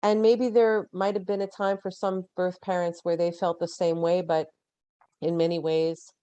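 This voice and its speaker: A woman in her fifties, a guest on a podcast interview, talking in an earnest, reflective way and pausing to find her words. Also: on the low side, level tone, dark tone, clear, resonant tone, dry recording, muffled recording. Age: 50s. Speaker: guest, genetic genealogist.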